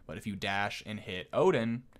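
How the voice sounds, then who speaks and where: A man's voice: fairly low pitch, expressive, normal speed, clear, resonant tone. A man giving casual game commentary as a video host, explaining things in a conversational way.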